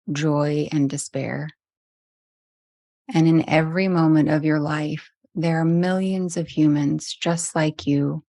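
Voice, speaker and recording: A woman guides a meditation in a low voice with soft creak at the edges. Her delivery is very even and gentle, leaving long pauses between phrases. The sound is close and dry. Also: no room sound. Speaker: meditation teacher.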